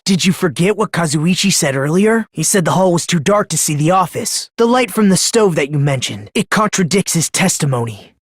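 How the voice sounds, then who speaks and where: A man voicing an eerily calm, unsettling teenage boy in a video game, soft and breathy, politely taunting and theatrical. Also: a little high, no echo, clean studio sound. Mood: probing. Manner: polite, taunting, theatrical, swinging between hushed and excited.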